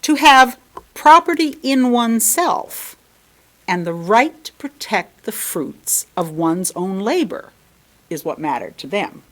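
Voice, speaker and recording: A woman reading a sermon aloud, deliberately, with emphatic stress on key words. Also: mid-range voice, sing-song, a bit bright. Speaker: lay reader delivering a sermon at a church. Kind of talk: read-aloud sermon with brief asides.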